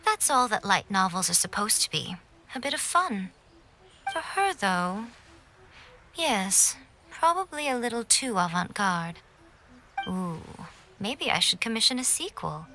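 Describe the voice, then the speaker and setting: A woman's voice: medium pitch, big pitch swings, unhurried, bright, forceful tone, a little hiss or hum, exaggerated voice. A woman voicing a sly, elegant, worldly priestess in a video game, with a teasing, poised and knowing manner. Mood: playful.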